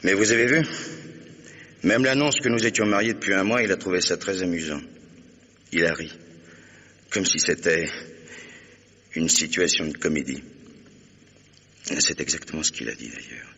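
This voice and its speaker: An actor playing a stern, devoted husband in a French radio mystery play, speaking with formal, earnest authority. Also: male voice, normal speed, long pauses, pushed and bright, heavily acted. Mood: indignant. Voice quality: very deep, gravelly, husky. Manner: earnest, commanding, formal address. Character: stern, devoted new husband, protective and determined.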